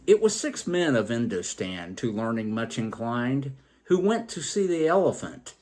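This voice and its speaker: Slow, expressive male storyteller with lively pitch. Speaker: storytelling show host. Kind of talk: expressive storytelling with moral reflection.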